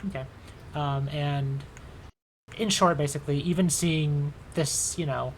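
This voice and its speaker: A game master narrating a tabletop game casually, thinking aloud with halting phrasing. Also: medium pitch, steady tone, slight background noise, low-quality recording.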